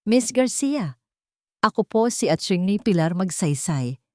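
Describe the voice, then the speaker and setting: A woman's voice: mid-range voice, sing-song, measured pace, warm tone, dry recording, studio quality. Woman playing an earnest, urgent lady lawyer. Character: earnest, determined woman lawyer seeking the truth.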